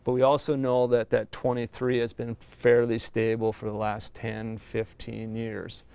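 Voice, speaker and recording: A man, a university researcher, giving a steady, factual explanation in an interview. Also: mid-range voice, unhurried, gravelly, smooth.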